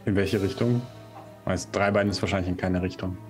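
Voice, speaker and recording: Low-voiced man playing a tabletop game. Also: fairly even intonation, fairly quick, darkish tone. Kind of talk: casual game talk, stating his character's actions. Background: background music.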